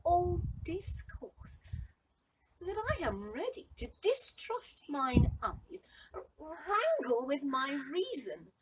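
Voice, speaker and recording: Woman acting a wonderstruck young Shakespearean man, slow and halting. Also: high-pitched, big pitch swings, voice pushed up, full character voice. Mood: elated disbelief. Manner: halting, wondering, shifting from hushed to exclaiming. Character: Sebastian, bewildered, lovestruck young man marvelling at fortune. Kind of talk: Shakespearean stage monologue, verse acting. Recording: distant mic with light background noise.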